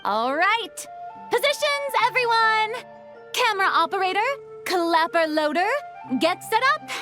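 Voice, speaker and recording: A woman's voice: extremely high pitch, expressive, a little slow, faint music behind. A woman playing an exuberant, theatrical young film director in an anime game dub, encouraging and commanding. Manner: crisp commanding calls, effusive praise. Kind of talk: English-dubbed anime game acting.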